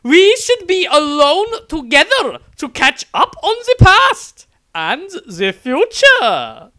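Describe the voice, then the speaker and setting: A man voicing a burly, besotted nun with a shrill, drawn-out, gushing delivery in a fantasy story. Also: huge pitch swings, pushed and bright, full character voice. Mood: coaxing, lovestruck. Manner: gushing, drawn-out, overly enthusiastic.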